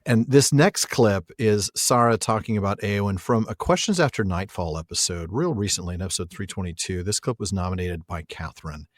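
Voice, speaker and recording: A man's voice: on the low side, brisk, few pauses, no room sound, clean studio sound. A man hosting a podcast in casual, reflective discussion, enthusiastic and given to repeating words for emphasis. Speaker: podcast host.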